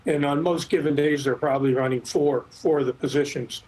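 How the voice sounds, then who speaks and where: A middle-aged or older man giving expert commentary in a news interview, explaining things in a measured, hesitant way. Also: on the high side, fairly even intonation, a little mellow, breathy edge, rough recording. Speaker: expert guest, former air traffic controller.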